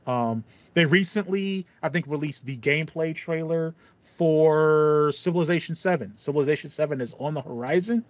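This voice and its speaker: A man in his thirties chatting casually and enthusiastically as a podcast co-host, explaining things in a rambling way. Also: fairly high pitch. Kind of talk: casual chat about video game music. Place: small home room with foam panels, indoors. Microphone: headset on a video call. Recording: slightly muffled, dry online call audio.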